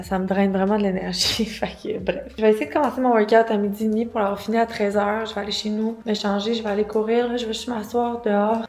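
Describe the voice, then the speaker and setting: A woman with a Quebec French accent chatting casually to her vlog in an informal, rambling way, peppered with slang and English words. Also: mid-range voice. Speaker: vlogger and entrepreneur.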